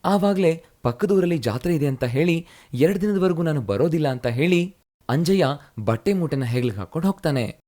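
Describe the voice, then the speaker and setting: A man narrating a cartoon moral story in a steady, explanatory way. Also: fairly high pitch, moderate pace.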